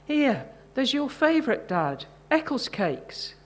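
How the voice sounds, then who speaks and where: A woman's voice: high voice, very animated, slow pace, voice pushed up, full character voice. A woman voicing an attentive, inquisitive grown-up daughter with a wry manner in a short story reading.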